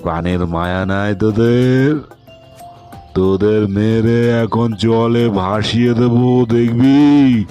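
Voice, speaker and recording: A man voicing a brutal bandit chief in a cartoon, loud and threatening. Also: fairly low pitch, slow, soft background music, pitched above the performer's own voice. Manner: threatening, shouting. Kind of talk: cartoon voice acting.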